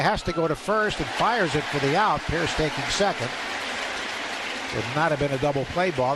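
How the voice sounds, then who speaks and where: Male radio baseball play-by-play announcer, higher-pitched, clipped delivery, live broadcast with heavy background noise. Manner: clipped phrases, rising to excited calls on big plays. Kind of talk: live radio sports commentary. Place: ballpark broadcast booth.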